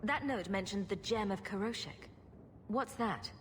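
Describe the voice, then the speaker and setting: A woman's voice: medium pitch, unhurried, close to an everyday voice. Lady doing sarcastic rogue heroine from a fantasy game, confident n dry, noisy background. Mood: questioning, direct. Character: wry, sarcastic adventuring heroine, confident and quick-witted. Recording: clear background noise.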